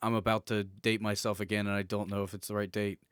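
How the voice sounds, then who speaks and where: A man's voice: fairly low pitch, little pitch movement, fast, clear, resonant tone, dry recording, studio quality. A man in his twenties co-hosting a casual podcast, informal and slangy, often searching for his words. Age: 20s. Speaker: podcast co-host.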